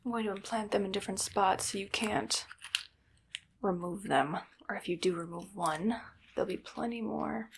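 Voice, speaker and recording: A woman voicing a coolly menacing evil doctor in an ASMR roleplay, soft-spoken, breathy and unhurried. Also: medium pitch, slight background noise, close to an everyday voice. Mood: cool, commanding. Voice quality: breathy, whispery, creaky. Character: evil female doctor, coolly menacing, controlling mad scientist. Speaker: ASMR performer.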